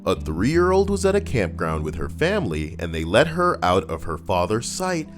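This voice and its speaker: A man's voice: sits low, wildly swooping pitch, moderate pace. A man reading stories aloud on a livestream, chatting casually and reacting to what he reads. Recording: guitar music playing underneath.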